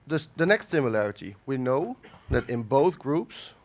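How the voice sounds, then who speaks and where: A man from the Netherlands gives a conference lecture while nursing a cold. His delivery is halting, full of fillers and restarts, at a middling pitch. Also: sing-song. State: has a cold. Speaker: conference speaker. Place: conference hall.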